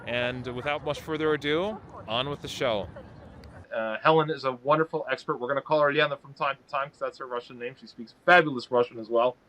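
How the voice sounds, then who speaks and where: A man hosting a podcast introduces the show and his guest, pausing often with fillers. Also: mid-range voice, fairly bright tone. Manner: halting, with many fillers. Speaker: host. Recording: outdoor street noise, traffic.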